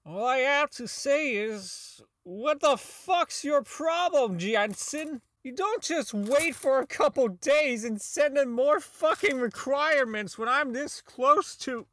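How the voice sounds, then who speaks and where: An actor playing a socially inept, bitter robotics engineer in an audio drama log, rambling, halting, swearing and putting himself down. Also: male voice, extremely high pitch, expressive, bright, forceful tone, dry recording, heavily acted. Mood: indignant, agitated. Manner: rambling, halting, profane, self-deprecating. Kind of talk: audio drama monologue as a recorded personal log.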